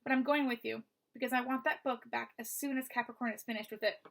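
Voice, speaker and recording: A woman's voice: a little high, steady tone, fast talker, vocal fry. Woman reading as a weary, firm father. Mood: tense. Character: worried, weary father, a grown man.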